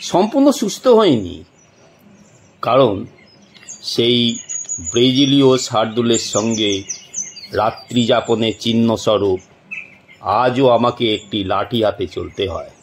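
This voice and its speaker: A man narrating a suspense story from a script in a dramatic, deliberate way, with long pauses. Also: medium pitch, lively intonation, slight background noise.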